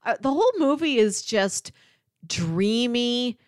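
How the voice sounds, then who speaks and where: A woman's voice: fairly high pitch, lively intonation, slow, no room sound, studio quality. A woman co-hosting a podcast, chatting casually about films in a conversational, unscripted way. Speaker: podcast co-host reviewing films. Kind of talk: casual conversational film chat.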